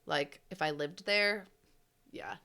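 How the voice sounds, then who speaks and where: Woman co-host, bright crisp voice, supportive listener. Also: on the low side, slow. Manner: supportive, short affirming replies. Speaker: podcast co-host. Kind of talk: casual chat.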